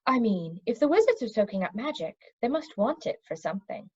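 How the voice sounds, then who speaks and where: A woman's voice: fairly high pitch, very animated, brisk, darkish tone, slightly rough, dry recording, muffled recording. A woman voicing a timid young storybook prince with a hesitant, doubtful manner.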